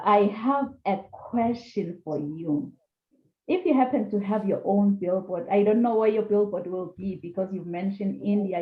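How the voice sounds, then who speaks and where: Enthusiastic woman host on a tinny line. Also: medium pitch. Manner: enthusiastic, laughs often, repeats words. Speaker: podcast host. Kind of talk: online panel hosting. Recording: echoey room over a tinny phone-like line.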